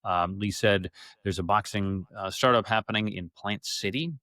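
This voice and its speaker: A middle-aged man speaks as a guest in a casual interview. His voice sits a little low, with very little room sound around it. He tells stories in an animated, rambling, conversational way. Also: moderate pace, dry recording, studio quality. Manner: animated, rambling, acts out quoted speech.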